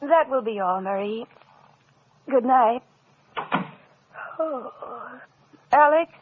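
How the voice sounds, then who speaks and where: An actress playing a poised, sharp-tongued society wife in an old-time radio drama, with polished theatrical delivery. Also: female voice, on the high side, lively intonation, slow, background noise. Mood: affectionate, chatty. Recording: tinny old radio recording.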